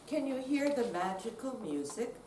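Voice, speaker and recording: A woman's voice: on the high side, very animated, normal speed, dark tone. An older woman giving an amateur readers theater performance in a senior center room. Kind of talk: dramatic reading on stage. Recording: distant, echoey room with faint noise.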